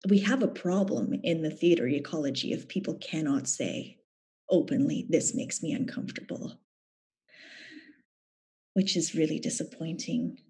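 A woman's voice: low-pitched, darkish tone, slightly rough. A Canadian woman, a theatre actor, speaking thoughtfully as a guest in a reflective conversation. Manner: thoughtful, measured.

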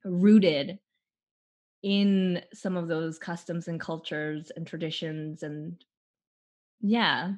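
A Canadian-born woman, a theater artist, speaking slowly and thoughtfully in an interview, often pausing and laughing lightly. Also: on the low side, steady tone, darkish tone, muffled recording. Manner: slow, thoughtful, with frequent laughs and pauses. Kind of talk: conversational interview, opening with a guided imagined scene.

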